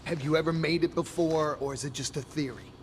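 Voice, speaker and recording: An actor as an inquisitive man questioning someone in a video game scene. His voice is fairly high and measured. Clear background noise runs under the quiet audio. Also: fairly even intonation, close to an everyday voice. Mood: probing. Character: inquisitive adult male investigator questioning a researcher. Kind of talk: video game cutscene acting.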